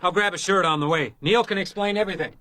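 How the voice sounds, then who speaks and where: An actor playing a gruff, hard-drinking hotel detective in a radio crime drama, speaking in terse, urgent phrases. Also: male voice, high-pitched, fast talker, lightly acted.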